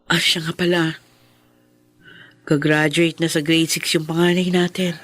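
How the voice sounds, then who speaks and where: Earnest, loving Filipino wife. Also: female voice, low-pitched, flat delivery, unhurried, fairly bright tone, dry recording. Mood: gently concerned. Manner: earnest, tender, emotional. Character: steadfast, loving, hurt wife of a prisoner.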